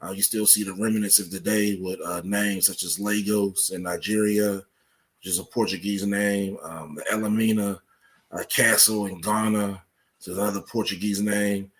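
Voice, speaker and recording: A man with a fairly low voice answers as an interview guest. He explains things like a researcher in conversation, moving through short, measured phrases with some halting. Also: steady tone.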